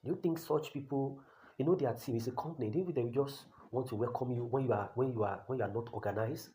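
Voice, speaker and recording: A man's voice: medium pitch, warm tone, slight background noise, rough recording. A Nigerian man with a Nigerian accent giving a blunt, repetitive tutorial as an online career coach. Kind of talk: talking-head tutorial.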